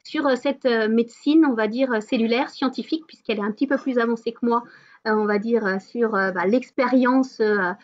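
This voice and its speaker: A woman hosting an online wellness meeting, talking about spirituality and the body in a casual way, often pausing on fillers and restarting her sentences. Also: on the high side, muffled recording. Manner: hesitant, many fillers and restarts.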